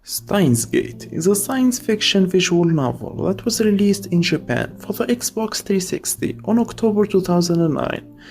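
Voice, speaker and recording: Higher-voiced man narrating, slightly creaky. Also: expressive, unhurried, a little mellow. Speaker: video host and narrator. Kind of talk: scripted video essay narration with casual asides.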